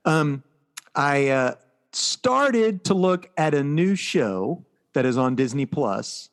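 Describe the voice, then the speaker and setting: A man's voice: a little high, expressive, takes it slowly. An American man co-hosting a podcast, chatting casually and with enthusiasm about pop culture. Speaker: podcast co-host.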